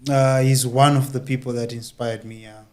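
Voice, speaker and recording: A man from Zimbabwe, a reggae musician being interviewed as a guest, easygoing and quick to laugh. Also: mid-range voice. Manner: easygoing, flat delivery, frequent laughs. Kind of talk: interview answers.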